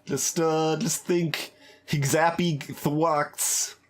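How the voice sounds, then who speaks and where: A man's voice: on the high side, steady tone, very slow. A man giving casual video game commentary as a streamer, hesitant and thinking aloud as he plays. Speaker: gaming streamer.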